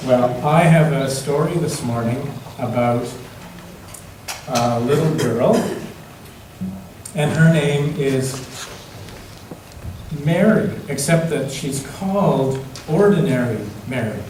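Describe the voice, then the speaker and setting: A man's voice: mid-range voice, slow, long pauses, a little mellow. A man reading a picture book aloud to young children at church, repeating phrases and putting simple questions to them. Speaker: children's story reader at a church service. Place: large echoey room, church. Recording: echoey room, distant mic, faint noise.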